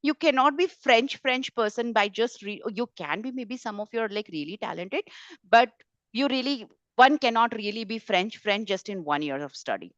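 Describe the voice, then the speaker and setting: A woman's voice: on the high side, lively intonation, normal speed, fairly bright tone, no echo. A woman speaking informally as a tutor in an online webinar, stressing points emphatically through repetition. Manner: emphatic, repeats words and phrases for stress. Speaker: French tutor hosting a webinar. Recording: slightly dull, lo-fi webinar audio.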